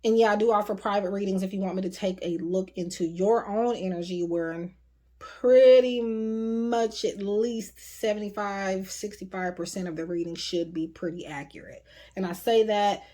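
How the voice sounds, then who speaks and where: A woman talks through a tarot reading in a loose, chatty way, full of "like" and "um". Her voice is starting to wear and sounds slightly hoarse. Her pitch sits in an ordinary middle range for a woman. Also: fairly even intonation, normal speed, mellow. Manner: chatty, rambling, frequent fillers. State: voice getting hoarse. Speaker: tarot reader. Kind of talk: casual tarot reading to camera.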